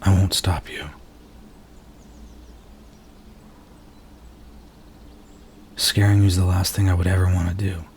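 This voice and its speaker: A man playing a nervous, earnest werewolf in an intimate ASMR roleplay, speaking softly and haltingly as he confides in his date. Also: deep voice, little pitch movement, slight background noise, natural voice. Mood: gentle, reassuring. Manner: soft-spoken, hesitant, halting, earnest.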